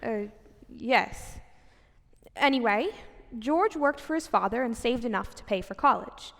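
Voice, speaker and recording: A woman's voice: on the high side, lively intonation, a little slow, gravelly, a bit bright, exaggerated voice. A woman playing a kindly storyteller who narrates a man's life in a radio drama. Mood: patient, explanatory. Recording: long hall-like reverb.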